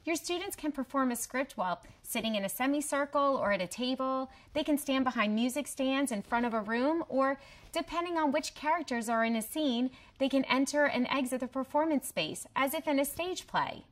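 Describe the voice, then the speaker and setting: A woman's voice: sits high, moderate pace. A woman delivering scripted promotional narration as a presenter. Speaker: host presenter.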